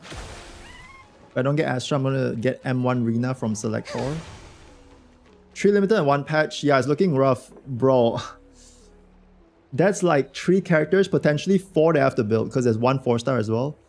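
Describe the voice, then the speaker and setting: A man streaming a game with a hoarse voice from a cold, chatting loosely and slangily with his viewers. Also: mid-range voice, measured pace, long pauses, darkish tone, faint music behind. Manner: slangy, rambling, talks to chat. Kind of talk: casual livestream chat reacting to a game.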